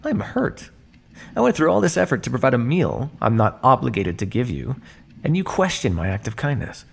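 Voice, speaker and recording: A man acts out a condescending vampire scientist for an ASMR roleplay, his pitch swinging freely over a creaky voice. He drawls with theatrical mock politeness and teases his captive with sardonic ease. There is a faint noise behind him. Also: sing-song, moderate pace. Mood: mock hurt. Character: male vampire scientist captor, smug, teasing, coolly condescending. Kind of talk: ASMR roleplay monologue addressed to listener.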